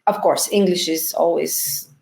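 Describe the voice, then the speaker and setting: A middle-aged woman whose first language is Bulgarian, a documentary director answering interview questions slowly and thoughtfully, often pausing to search for words. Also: on the low side, fairly even intonation, gravelly, a little mellow.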